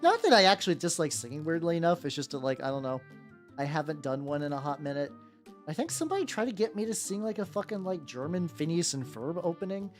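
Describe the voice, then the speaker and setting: A livestreamer talks off the cuff with lively, swinging intonation. The delivery is rambling and irreverent, full of repeated words and casual swearing. Faint music plays behind the voice. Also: mid-range voice. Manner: rambling, stammering, repeats words mid-sentence. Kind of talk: casual livestream chat while painting miniatures. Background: background music.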